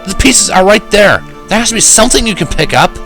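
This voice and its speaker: A man's voice: high-pitched, lively intonation, a little fast, over music, pitched above the performer's own voice. A man voicing an agitated, defensive male pony who pleads insistently in a fan-fiction story reading.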